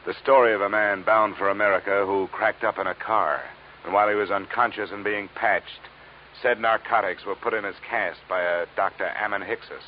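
A man's voice: fairly low pitch, fairly even intonation, moderate pace, close to an everyday voice. An actor playing a wry, hard-boiled male investigator, sardonic and dry, in a vintage radio detective drama. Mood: terse. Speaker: lead and narrator. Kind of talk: 1950s radio detective acting and narration. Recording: dull, faintly noisy vintage radio recording.

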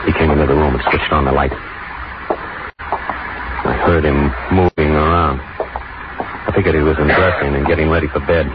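An actor playing an anxious, lovestruck man plotting a crime, in tense, halting 1940s radio thriller style. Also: rumbling low, steady tone. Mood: brooding suspense. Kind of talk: 1940s radio thriller acting, first-person narration. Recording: noisy, muffled 1940s radio recording.

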